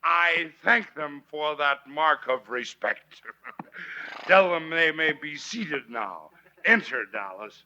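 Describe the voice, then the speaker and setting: An actor playing a tipsy, pompous, jovial older American doctor in a 1940s radio western, slurring theatrically. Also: male voice, fairly high pitch, expressive, takes it slowly, sharp tone, full character voice. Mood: mock-grand. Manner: grandiloquent, tipsy rambling. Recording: muffled, noisy 1940s radio recording.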